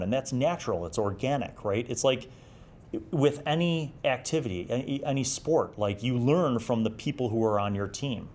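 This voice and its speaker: A man's voice: medium pitch, a little mellow. A man in his forties talking casually on his own as he hosts a review show, reflective and explanatory in a conversational way. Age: 40s. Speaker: host of an old gaming review series. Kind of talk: casual solo review and reminiscence.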